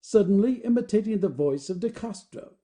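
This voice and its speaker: A woman's voice: medium pitch, lively intonation, brisk, warm tone. A woman giving scripted narration, reading out the stage directions of an audio play.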